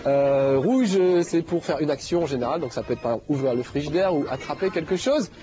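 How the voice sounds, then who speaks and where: A man's voice: a little high, fairly quick, dark tone, lo-fi sound, soft background music. A man hosting a game show, talking casually over gameplay with a slight nasal head cold and lots of fillers. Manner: chatty, jokey, many fillers.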